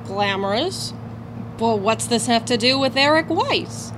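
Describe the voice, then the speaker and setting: Lady doing a snarky runaway kid, radio play, high lively voice. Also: measured pace, noisy background. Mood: sarcastic. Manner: sarcastic, teasing. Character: sarcastic young runaway, mouthy and skeptical.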